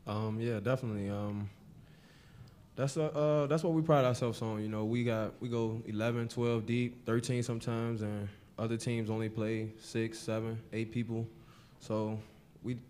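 A young male college basketball player answering postgame questions at a table mic in a press room, soft-spoken with many fillers. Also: fairly low pitch, little pitch movement, moderate pace, slight background noise. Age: young adult.

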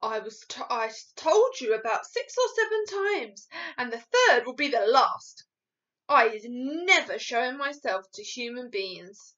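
A woman's voice: fairly high pitch, lively intonation, unhurried, sharp tone. A woman voicing a kindly, sad and stubborn giant from a storybook, with rustic, muddled grammar and emphatic delivery. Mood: firm, emphatic. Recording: dull lo-fi recording.

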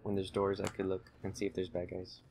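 A young man giving low-key, explanatory commentary while playing a video game at home. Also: monotone, brisk, gravelly, darkish tone, a little hiss or hum. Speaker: gaming channel host playing the game. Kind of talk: casual let's play commentary. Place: room at home.

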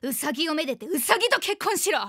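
A woman's voice: high voice, very animated, fast talker, a bit bright, no echo, studio quality, full character voice. A woman playing a cocky, eccentric, bossy teenage girl in a radio comedy drama, making blunt, grandiose declarations. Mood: scornful. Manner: blunt, grandiose declarations, third-person self-reference.

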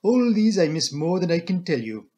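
Guy doing a fussy little french-accented gent, pitched up, gushing formal apologies. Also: sing-song, moderate pace, mellow, lo-fi sound. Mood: wistful. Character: fussy little foreign man, solemn, effusive.

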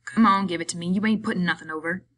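A woman voicing a gruff, exasperated working man who gives brusque orders in rough rural slang, in an audiobook story. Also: fairly low pitch, rapid-fire, fairly bright tone, close to an everyday voice. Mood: stern demand. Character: gruff, bossy, exasperated working man minding his friend.

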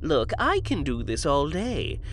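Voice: mid-range voice, huge pitch swings, measured pace. An actor playing a smug alien interrogator and broadcast host in an audio drama, mock-polite, condescending and menacing.

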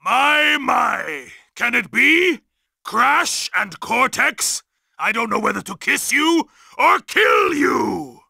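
A man voicing an evil, wrathful ancient mask spirit in a video game, with a gravelly, theatrical, menacing delivery. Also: very high voice, big pitch swings, slow, bright, forceful tone, no echo, shouting, clean studio sound, heavily acted. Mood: gloating menace.

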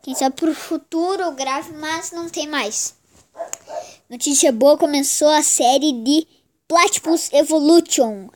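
High-pitched child gamer with a stuffy cold. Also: unhurried, a little mellow, a little hiss or hum. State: congested with a cold. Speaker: gaming channel host. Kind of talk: casual mobile game commentary.

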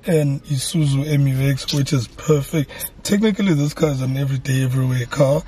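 Mellow-voiced South African man vlogging. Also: medium pitch, brisk, breathy edge, faint music behind. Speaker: vlog co-host. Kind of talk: casual chat to camera with code-switching. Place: inside a car. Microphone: camera at dashboard distance.

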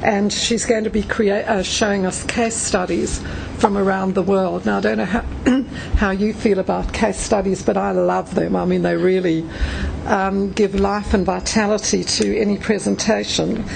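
Gravelly-voiced woman hosting, excited intro with lots of ums, bit of noise behind. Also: medium pitch, normal speed. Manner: warm, enthusiastic, with hesitant ums. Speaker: event host introducing a speaker. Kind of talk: speaker introduction. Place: conference room.

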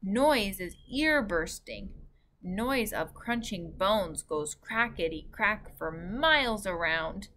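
A woman's voice: medium pitch, expressive, takes it slowly, sharp tone. A woman voicing a huge, boastful man-eating giant from a children's storybook, with emphatic, ungrammatical speech. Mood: boastful, menacing. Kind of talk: children's storybook read-aloud.

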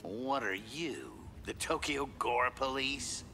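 An actor voicing a sadistic, darkly playful masked killer in a video game, gravelly and breathy, mocking as he savors his threats. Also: medium pitch, slow pace, pushed and bright, faint music behind. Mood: gleefully taunting. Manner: mocking, menacing, savoring words. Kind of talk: video game villain dialogue.

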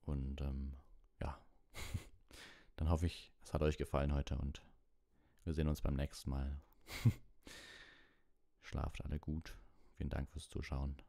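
A man's voice: a bass voice, flat delivery, slow pace, creaky, pauses often, mellow, dry recording, clean studio sound. A man reading a bedtime audiobook and hosting it as a livestream. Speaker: audiobook reader and livestream host. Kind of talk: bedtime audiobook narration and soft sign-off.

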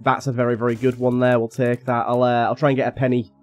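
A young man with a slightly raspy voice from being a little ill, chatting casually and thinking aloud as he plays a game. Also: mid-range voice, level tone, fast, no room sound, faint music behind. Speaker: gaming YouTuber. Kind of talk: casual gameplay commentary.

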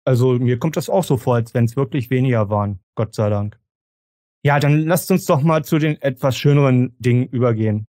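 A man moderates a podcast discussion in a casual way. His voice is of average pitch. The recording is dry, with no room around it. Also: a little fast, mellow, no room sound. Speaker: podcast host.

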